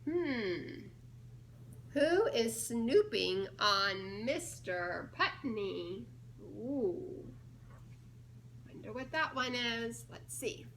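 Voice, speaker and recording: Playful high-pitched woman reading to children. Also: a little hiss or hum. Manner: playful, expressive, drawn-out delivery of key words with teasing pauses. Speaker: children's storybook reader and church children's ministries director. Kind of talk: read-aloud story time for young children, asking guessing questions.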